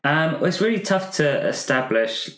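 A man's voice: fairly high pitch, lively intonation, moderate pace, a bit bright. A man chatting casually with a group about tabletop game characters, thinking aloud hesitantly. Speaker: tabletop role-play player. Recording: roomy, echoey group recording.

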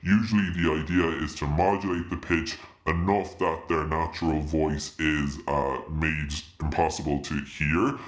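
A middle-aged man giving a casual tutorial, his voice pitch-shifted downward with added reverb like an anonymous witness. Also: little pitch movement, measured pace, hardly any pauses. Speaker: YouTube tech presenter. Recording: echoey reverb on home-office recording.

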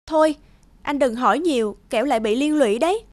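An actress playing an anxious but determined young princess in a cartoon fairy tale. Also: female voice, sits high, fast, close to an everyday voice. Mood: worried.

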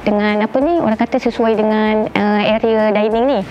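A middle-aged woman with a Malaysian accent giving a casual, chatty tour of her house, often pausing to search for words. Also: medium pitch, steady tone. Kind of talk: casual guided home tour to camera. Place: indoors, rooms of a furnished house. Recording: slightly dull sound with faint background noise.

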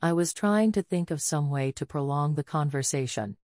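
A woman with a notably low voice reads short scripted test prompts. The sound is dry and free of room echo. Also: normal speed, a little mellow. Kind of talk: scripted sentence reading for an English test.

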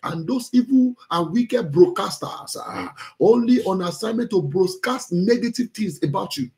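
A man with a Nigerian accent, a pastor leading fervent Pentecostal prayer in forceful, repeated declarations with a strained, gravelly voice. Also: high-pitched, lively intonation, moderate pace. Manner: forceful, repetitive chanting declarations.

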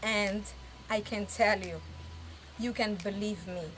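A woman founding an arts village, speaking in an interview in a determined, emphatic way with halting repeats. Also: medium pitch, fairly even intonation, fairly bright tone, soft background music, low-quality recording. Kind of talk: interview testimony.

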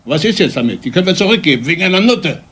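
Pompous, outraged older father, high-pitched. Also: very animated, rapid-fire, fairly bright tone. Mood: indignant, shaken. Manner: pompous, indignant, declamatory. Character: outraged, respectability-obsessed father of a grown son. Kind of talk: German radio crime drama acting.

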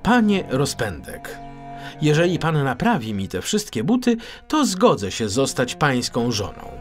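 A man's voice: mid-range voice, huge pitch swings, unhurried, soft background music. Man putting on a haughty, stingy rich widow. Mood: calculating.

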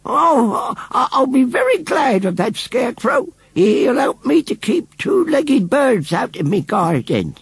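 A man's voice: extremely high pitch, very animated, unhurried, creaky, hardly any pauses, slightly rough, no room sound, voice pushed up, heavily acted. A man voicing a cranky but kindly old village woman in a storybook, indignant and stammering. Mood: flustered.

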